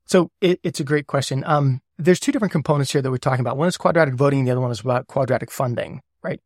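A man being interviewed as a guest who explains ideas step by step with worked examples. He often checks in with a quick "right?". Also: medium pitch, darkish tone, dry recording, clean studio sound. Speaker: guest, governance lead.

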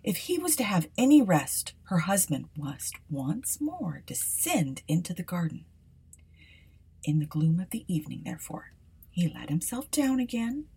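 A woman reading an old fairy tale aloud for a podcast, often dropping to a hushed whisper. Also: fairly low pitch, breathy edge. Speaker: podcast storyteller.

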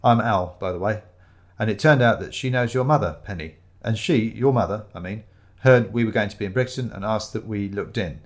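A man plays an awkward sidekick in a storybook mystery. He is low-voiced and fussy, a reluctant companion who is easily exasperated. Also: a little fast, lightly acted. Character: awkward, exasperated male sidekick of an amateur detective.

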